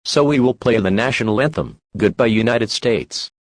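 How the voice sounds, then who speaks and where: A male announcer delivers a scripted emergency alert. His voice sits at a middle pitch. The sound is dry, with no room around it. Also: normal speed, dry recording.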